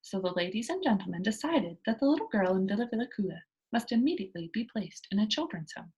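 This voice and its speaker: A woman reads a children's story aloud with a lively, varied pitch. Her voice has a husky edge, and she addresses her young listeners directly as a storyteller. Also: fast talker, dull audio. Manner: engaging listeners with simple questions.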